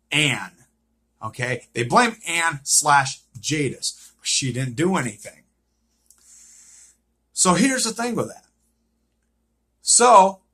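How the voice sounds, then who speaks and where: A man's voice: on the high side, sharp tone, slightly rough. A man giving an unscripted, casual recap of a TV series, repeating himself and often checking in with a questioning okay. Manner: repetitive, checks in with frequent "okay?".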